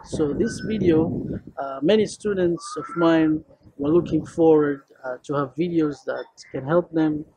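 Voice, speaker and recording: A man's voice: on the high side, a little slow, low-quality recording. A young man with a Kenyan accent, a friendly Swahili teacher introducing his course to camera on an outdoor rooftop, talking loosely with many fillers.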